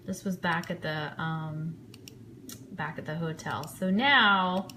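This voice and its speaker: A woman's voice: deep voice, slow, pushed and bright, slight background noise. A woman giving casual live commentary on trip photos as host of an online fan show, chatty and enthusiastic.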